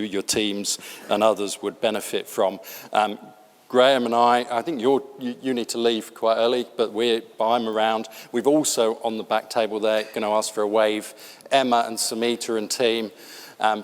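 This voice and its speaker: Measured low-voiced man in a hall. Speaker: co-presenter at an event. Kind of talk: conference presentation.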